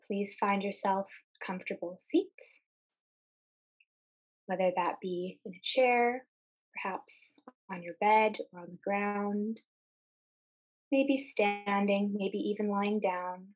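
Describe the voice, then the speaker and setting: A woman guides a meditation in a soft, husky-breathy voice. Her delivery is very slow and level, with little rise or fall in pitch. She speaks calmly and soothingly, pausing between short phrases. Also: poor audio. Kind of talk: guided meditation, calm scripted narration.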